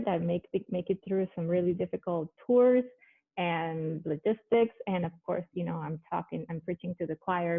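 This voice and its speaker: A woman's voice: lowish voice, gravelly. A middle-aged woman from Colombia with a Colombian Spanish accent, a native Spanish speaker chatting casually as a guest, with many fillers and restarts. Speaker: guest, business owner. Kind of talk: casual video-call conversation. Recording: phone-like thin call audio.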